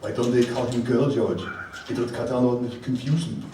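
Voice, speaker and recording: A man's voice: medium pitch, steady tone, normal speed, natural voice. Man acting a stoic commando dad, heavy echo. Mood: deadpan. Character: stoic ex-Special Forces commando and protective father. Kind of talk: comedic stage radio-play acting. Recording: very echoey distant hall, loud noise.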